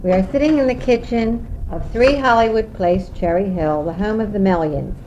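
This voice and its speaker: A woman sets the scene for a family recording in a plain, measured tone, then asks her questions. Her voice is mellow, of middle pitch, and comes from a muffled home tape with a faint hiss. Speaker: interviewer, family member. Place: home kitchen.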